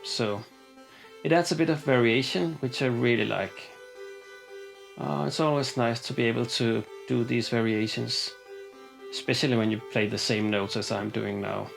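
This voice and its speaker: A man's voice: mid-range voice, moderate pace, gravelly. A man walking through a modular synth patch, explaining casually with frequent fillers and restarts. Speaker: synth demonstrator. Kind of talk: hands-on synth demo. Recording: synth music playing behind. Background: synthesizer music.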